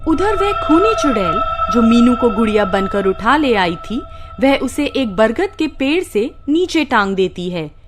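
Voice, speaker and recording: High-pitched, very lively woman storyteller. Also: a little slow. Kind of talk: expressive scripted bedtime-story narration. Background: faint background music.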